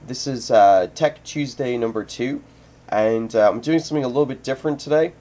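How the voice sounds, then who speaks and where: A man's voice: mid-range voice, a little fast, dark tone. A man hosting a hands-on electronics tutorial at his desk, talking casually with many ums and uhs while getting over a cold. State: recovering from a cold. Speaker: host of a hobby electronics channel. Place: home desk with computer.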